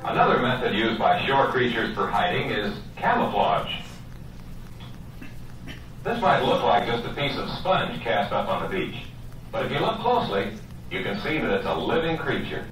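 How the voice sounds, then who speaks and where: A man's voice: medium pitch, very animated, normal speed, a bit bright. A man reading scripted educational nature narration, explaining things and addressing the viewer directly. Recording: echoey, distant, music behind.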